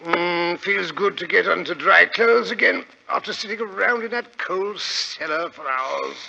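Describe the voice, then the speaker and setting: A man acting a genial, bumbling British gentleman sidekick in a 1940s radio drama, with an eager, hesitant, stammering manner. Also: sits high, measured pace, creaky, noisy background, full character voice. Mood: curious, admiring. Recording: dull, lo-fi vintage radio recording.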